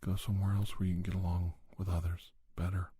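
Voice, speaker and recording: A man hosting an ASMR podcast, giving personal advice in a soft, breathy whisper with deliberate, repetitive phrasing. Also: low-pitched, little pitch movement, no room sound, clean studio sound. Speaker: podcast host.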